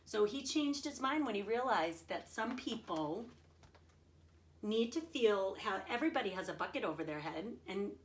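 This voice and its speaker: A woman's voice: mid-range voice, lively intonation, normal speed, a little hiss or hum. A woman reading a children's picture book aloud expressively, adding simple explanations and checking in with her young listeners. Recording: roomy echo, distant from the mic.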